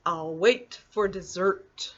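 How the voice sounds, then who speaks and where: A woman voicing a charming, hungry male wolf in a storybook, with silky, drawn-out politeness. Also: lowish voice, muffled recording, slight background noise. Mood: smug.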